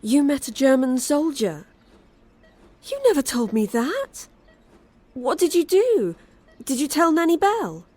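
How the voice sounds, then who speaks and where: A woman playing a concerned, sceptical grown-up British daughter in an audio drama, questioning with gentle disbelief. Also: high-pitched, very animated, unhurried, long pauses. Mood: surprised.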